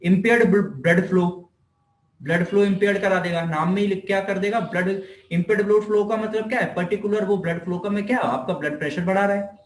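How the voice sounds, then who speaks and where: A man's voice: high-pitched, fairly even intonation, fast talker. A man with an Indian accent giving an informal explanatory lecture, conversational, repeating points and asking rhetorical questions, mixing in English technical terms. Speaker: physiotherapy lecturer. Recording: echoey, reverberant room.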